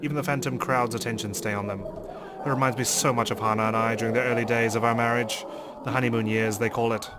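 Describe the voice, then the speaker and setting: A man hosting a let's play, reading a visual novel aloud in a measured, theatrical way. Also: mid-range voice, steady tone, very noisy. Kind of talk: dramatic read-aloud narration of a visual novel with commentary.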